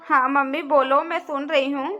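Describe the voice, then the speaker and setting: A woman's voice: high-pitched, fairly even intonation, normal speed, sharp tone, dull audio, faint music behind. A woman voicing a worried, respectful young daughter-in-law in a cartoon family drama. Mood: polite. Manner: earnest, polite, pleading.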